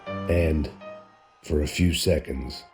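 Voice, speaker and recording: An actor playing a weary, hard-bitten ex-cop narrating in a sardonic noir voice-over. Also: male voice, a bass voice, fairly even intonation, takes it slowly, music in the background, full character voice. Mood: grim.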